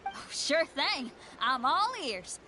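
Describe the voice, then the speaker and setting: A woman's voice: sits high, sing-song, slow pace, noisy background, heavily acted. A woman playing a spirited, easygoing young rock musician in a video game, folksy, confident and friendly. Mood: cheerful.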